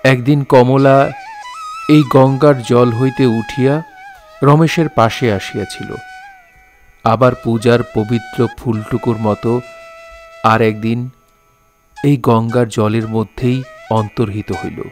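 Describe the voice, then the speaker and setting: A man's voice: medium pitch, steady tone, takes it slowly, pauses often, faint music behind. A man narrating an audio drama in a formal, literary storytelling manner.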